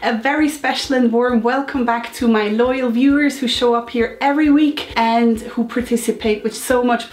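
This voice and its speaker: A woman in her thirties, a bit hoarse from a cold, giving an upbeat, encouraging motivational talk to her viewers. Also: fairly high pitch, roomy sound. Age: 30s. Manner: upbeat, encouraging, chatty asides. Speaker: lifestyle vlogger. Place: home room.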